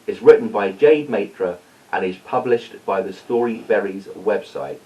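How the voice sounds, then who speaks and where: A man's voice: on the low side, normal speed, mellow, distant mic, rough recording. A man reading a children's story aloud as storyteller. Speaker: storyteller, school staff reader.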